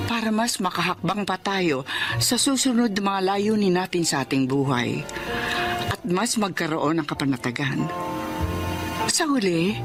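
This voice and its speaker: An actress playing a contented middle-aged wife and mother in a Filipino radio drama, measured and sentimental. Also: fairly low pitch, lightly acted. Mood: tender, reflective. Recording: music behind.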